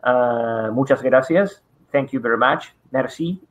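A man's voice: mid-range voice, measured pace. A middle-aged man from Argentina with a Spanish accent, presenting over a video call in hesitant English full of fillers. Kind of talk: online conference presentation. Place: home study with bookshelves. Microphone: webcam video call. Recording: dull lo-fi video call audio.

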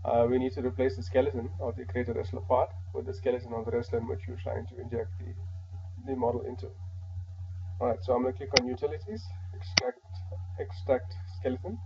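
A man with a slightly hoarse voice from a flu, calmly walking through a modding software tutorial step by step, with frequent "alright" and "uh". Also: medium pitch, fairly quick, dull audio, slight background noise. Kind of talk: screen-recorded software tutorial.

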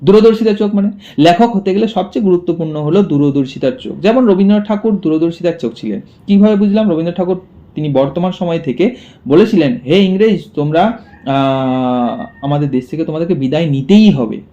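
The recording is dull and lo-fi. A male schoolteacher explains a text phrase by phrase in a soft-edged, relaxed voice pitched a little high. He often repeats key words and puts questions that he then answers himself. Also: fairly quick. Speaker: school literature teacher. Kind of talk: explanatory lesson, reading a story line by line. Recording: dull, muffled lo-fi audio.

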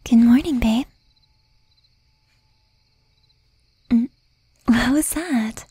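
A woman voicing a jealous, possessive young demon girlfriend in a breathy, intimate whisper with pointed pauses, for an ASMR roleplay. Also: a little high, mellow, no echo. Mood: sleepy, affectionate.

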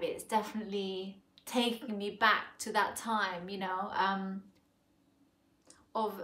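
A woman in her forties from Thailand, reviewing a perfume in a casual, musing way, with many fillers and restarts, recorded at home on a sofa. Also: mid-range voice. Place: living room sofa, indoors. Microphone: camera in front at close range. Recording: echoey room.

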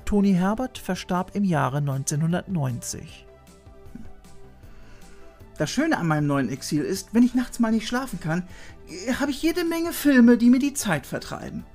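A man's voice: high-pitched, sing-song, a little slow, a little mellow, faint music behind. A man in his thirties narrating a scripted piece about voice actors as host, informative with chatty playful asides, recorded at a studio mic in a small room lined with shelves. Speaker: host of a retro TV channel. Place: small room full of shelves of videos and toys. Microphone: studio mic on a boom arm.

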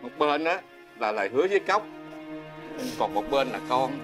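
A man's voice: medium pitch, sing-song, normal speed, music in the background, close to an everyday voice. A man playing a troubled, apologetic father in a fairy-tale television drama, earnest and hesitant, with a Southern Vietnamese accent.

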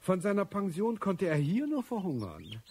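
Chatty woman, swooping wildly in pitch. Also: huge pitch swings, normal speed, a little mellow, slightly rough, dry recording, full character voice. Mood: gossipy. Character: chatty, complaining woman airing smug everyday prejudices. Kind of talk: radio drama dialogue.

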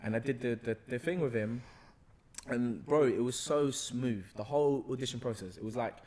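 A young man with a London accent, talking casually as a podcast guest and retelling his experiences in a slangy, expressive way. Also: mid-range voice, moderate pace. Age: young adult. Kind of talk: casual conversational storytelling. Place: bright indoor room, seated on a sofa. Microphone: podcast microphone on a boom arm, close.

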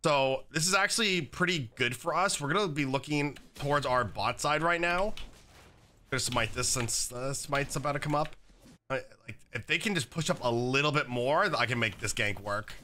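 A young man with a slightly raspy voice from being sick, chatting casually while commenting on his own gameplay at a desk setup. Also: mid-range voice, moderate pace, sharp tone, slight background noise. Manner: casual, chatty, many fillers like "like" and "um". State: slightly raspy, recovering from illness. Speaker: gaming YouTuber. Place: home gaming setup, indoors.

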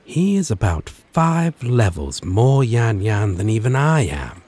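A man's voice: on the low side, sing-song, a little mellow, heavily acted. A man playing a flirtatious, possessive demon lover in an intimate, teasing ASMR roleplay. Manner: slow, teasing, chuckling drawl.

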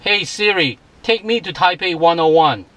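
A man in his forties giving a halting, repetitive product demonstration as presenter and seller. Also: high-pitched, lively intonation, pushed and bright, a little hiss or hum. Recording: music playing behind the voice. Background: music.

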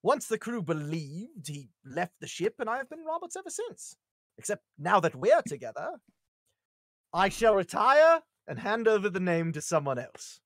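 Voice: medium pitch, very animated, normal speed, fairly bright tone, no room sound. A performer reading a hero's lines in a casual comedy table read on a group video call, playful and given to ad-libs. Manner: playful, breaking into laughter and ad-libs.

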